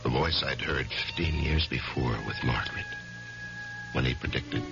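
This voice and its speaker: An American actor playing an uneasy, guilt-haunted middle-aged lawyer in a 1970s radio drama. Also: male voice, extremely low pitch, moderate pace. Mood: brooding, confiding. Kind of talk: 1970s radio drama acting, first-person narration and dialogue. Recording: dull vintage radio recording with music.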